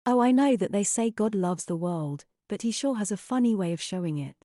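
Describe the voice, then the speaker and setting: A woman's voice: mid-range voice, animated, a little fast, dark tone, dry recording, studio quality, lightly acted. A woman playing a skeptical, disillusioned young wife and mother in an audio drama, questioning and restrained.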